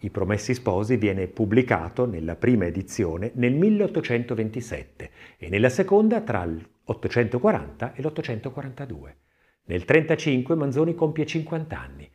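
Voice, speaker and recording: A man reading scripted literary narration as an audiobook narrator, with measured, theatrical phrasing. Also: mid-range voice, very animated, a little mellow.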